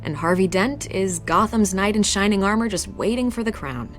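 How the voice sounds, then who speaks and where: An actress playing a confident, persuasive newspaper reporter in a video game, assured and coaxing. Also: female voice, medium pitch, animated, moderate pace, faint music behind, natural voice.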